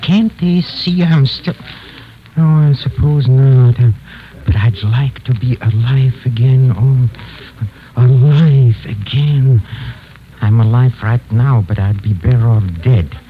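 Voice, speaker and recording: An actor playing a tormented, bitter living wax figure, brooding and halting in 1940s radio style. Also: male voice, medium pitch, slight background noise, natural voice. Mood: despairing. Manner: brooding, halting, repeats himself. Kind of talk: 1940s radio acting, inner monologue. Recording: dull, lo-fi 1940s radio recording.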